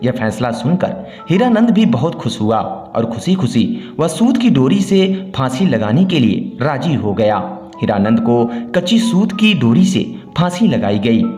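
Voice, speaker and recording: A man's voice: mid-range voice, big pitch swings, unhurried, darkish tone. A man narrating a scripted moral story as a storyteller. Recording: roomy echo, music behind. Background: background music.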